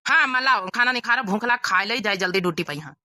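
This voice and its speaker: A woman's voice: mid-range voice, fast, sharp tone, no echo. A woman voicing an eager, lovestruck young village bachelor with an Awadhi accent in a comedy cartoon. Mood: casual, dutiful.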